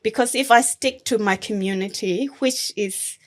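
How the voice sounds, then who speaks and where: A young woman from Thailand, a Karen refugee, speaking slowly and reflectively as a guest in an interview. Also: mid-range voice. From born in Thailand, Karen refugee. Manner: slow, measured and reflective, with gentle laughs. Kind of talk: conversational interview.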